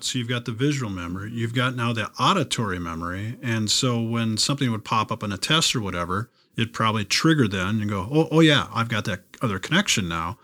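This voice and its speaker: A man's voice: medium pitch, a bit bright. A man talking casually as a podcast co-host, affirming his partner and acting out little imagined quotes. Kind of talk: casual conversational talk.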